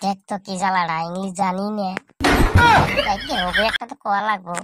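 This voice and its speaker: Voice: high-pitched, fast, very noisy, natural voice. A performer voicing a cheeky, dim-witted village schoolboy in a comedy cartoon, whining and arguing back in a rural Bangladeshi accent with mangled English. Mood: whiny, cheeky. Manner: whiny back-talk, mangled English mixed into dialect.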